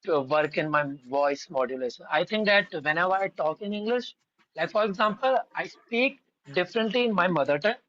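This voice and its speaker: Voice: mid-range voice, animated, brisk, fairly bright tone, dry recording, dull audio. A podcast guest with an Indian accent, learning English, who speaks politely, often says "ma'am," and rambles with lots of "like.". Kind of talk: casual conversational podcast.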